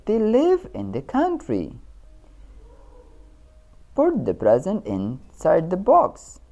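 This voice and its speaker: Female teacher, Pakistani accent, low voice with hugely varied melody, reading a lesson deliberately and instructively. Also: warm tone, highs cut off. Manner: instructional, deliberate, repeats key terms. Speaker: English grammar teacher. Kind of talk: scripted lesson narration with examples.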